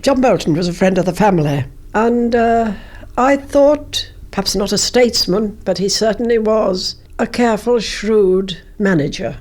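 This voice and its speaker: An older woman, a former politician, reminiscing in an interview in a measured, anecdotal way. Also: medium pitch, darkish tone.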